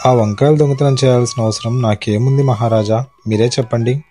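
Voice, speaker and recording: A man playing a witty, wily court poet in an animated moral story, exclaiming and persuasive in a colloquial way. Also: medium pitch, a little fast, soft background music, natural voice. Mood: feigned innocence. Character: witty, wily adult male court poet, husband and father.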